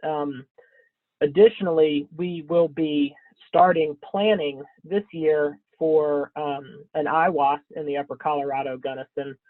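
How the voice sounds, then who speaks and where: A man presenting slides in a webinar, scratchy from a cold, speaking haltingly with frequent ums and throat clearing. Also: fairly high pitch, fairly even intonation, no echo. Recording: thin phone-like webinar audio, dry.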